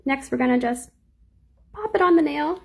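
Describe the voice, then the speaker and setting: Slightly squeaky woman teaching nail art. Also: lively intonation, moderate pace, gravelly. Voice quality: a little squeaky. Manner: chatty, reads and answers viewer comments. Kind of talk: live nail art tutorial.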